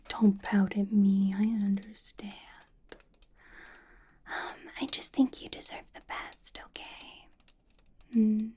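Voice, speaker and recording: A woman playing a doting, possessive vampire boss in a soft, breathy whispered ASMR roleplay, coaxing and fussing with a lightly bossy edge. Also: fairly high pitch, exaggerated voice. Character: doting, possessive female vampire boss, older than she looks.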